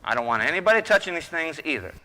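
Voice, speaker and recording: A man's voice: fairly high pitch, big pitch swings, fast talker, sharp tone, exaggerated voice. A man playing a folksy, stubborn, wisecracking husband in an old-time radio comedy, boastful and loose with his grammar. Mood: blustering, stubborn. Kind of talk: 1940s radio comedy acting. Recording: roomy hall reverb, noisy background.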